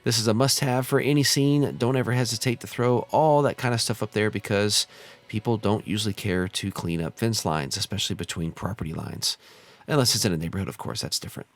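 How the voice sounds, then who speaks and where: An American man with a slightly hoarse, stuffed-up voice from a cold, giving easygoing, casual tutorial commentary as a channel host. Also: on the low side, fairly quick. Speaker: host of a model railroad simulator channel.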